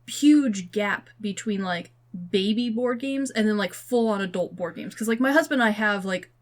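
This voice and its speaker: Animated young woman chatting casually. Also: mid-range voice, normal speed. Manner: animated, slangy, jokey exaggeration with frequent "like". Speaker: podcast co-host. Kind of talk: casual conversational podcast chat.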